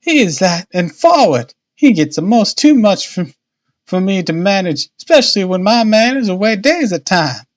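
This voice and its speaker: A man's voice: high-pitched, sing-song, normal speed, pitched above the performer's own voice. A man voicing a harried, talkative working-class Victorian woman from a storybook, anxious and exclamatory in manner. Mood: chatty, flustered. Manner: chattering, exclamatory, colloquial.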